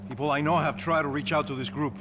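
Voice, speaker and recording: A man's voice: fairly high pitch, steady tone, fast talker, lightly acted. A man playing a troubled middle-aged Brazilian tycoon in a game drama, weary and defensive. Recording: clear background noise, vehicle-like ambience.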